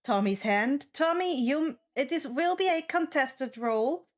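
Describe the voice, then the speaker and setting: A woman's voice: high-pitched, lively intonation, measured pace. A woman acting as game master, narrating a tabletop role-playing game.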